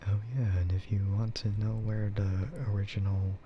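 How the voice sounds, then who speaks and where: A man streaming a casual, rambling ASMR chat in a soft, breathy voice that often slips into a whisper. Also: lowish voice, flat delivery, normal speed, highs cut off, slight background noise. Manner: rambling, laid-back. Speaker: streamer and VTuber host.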